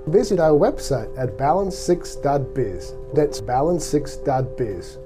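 A man's voice: a little high, sing-song, measured pace. A middle-aged man with a Swiss accent, a business coach reading his own upbeat, promotional radio ad. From Switzerland. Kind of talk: self-read radio ad. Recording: guitar music bed.

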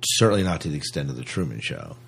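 Low husky guy on a podcast, just chatting, kinda thinking out loud and asking stuff. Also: huge pitch swings, quick pace. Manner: questioning, thinks aloud. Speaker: podcast co-host. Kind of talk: casual chat discussion.

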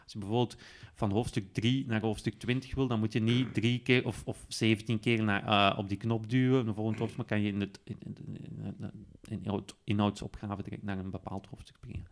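A man with a Flemish accent presenting an informal software demonstration, explaining step by step and hesitantly repeating screen labels. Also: on the low side, flat delivery, a little fast. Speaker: podcast presenter demonstrating an app. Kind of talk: informal spoken software walkthrough.

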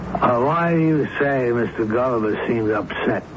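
On a dull radio recording with clear background noise, an actor plays a police lieutenant. His medium-pitched voice is blunt, doubting and impatient, firing off questions in exasperation. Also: moderate pace, natural voice. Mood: probing. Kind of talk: radio drama acting. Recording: dull noisy old radio broadcast.

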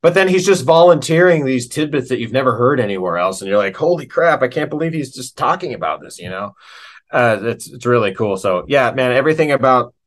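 A man's voice: medium pitch, animated, brisk. A man talking casually as an interview guest, in an enthusiastic, slangy, rambling way about his own craft.

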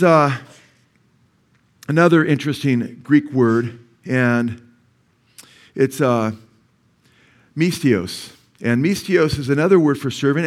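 A male pastor with a Californian accent preaching a sermon to a church congregation. He explains word meanings patiently, then builds to emphatic peaks and calls out for amens. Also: medium pitch. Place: church sanctuary.

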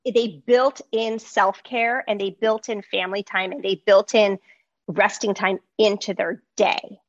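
Greek-native woman, lively storyteller, dry muffled sound. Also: mid-range voice, a little slow, dry recording. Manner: animated storytelling, frequent fillers. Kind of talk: casual conversation.

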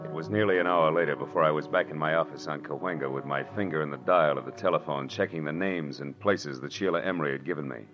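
A tough private detective from a 1940s radio serial. His low voice is clipped and wry, full of hard-boiled sarcasm. Music runs behind the dull vintage recording. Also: quick pace, few pauses. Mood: wry narration. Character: tough, wisecracking male private detective narrating his case.

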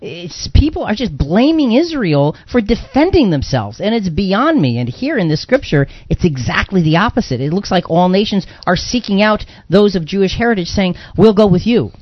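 Higher-voiced man hosting, slightly dull audio. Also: sing-song, moderate pace, hardly any pauses, no echo. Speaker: religious podcast host. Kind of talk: conversational moderating of Bible discussion.

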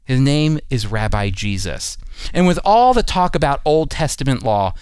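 A man teaching the Bible in an earnest, emphatic and deliberate way, in a sermon style. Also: medium pitch, very animated, darkish tone, clean tone, no room sound.

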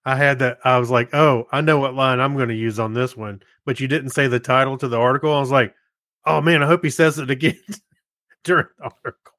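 Man, podcast co-host, medium pitch, informal chat, slightly halting delivery, dry recording. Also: fairly even intonation, a bit bright, no echo.

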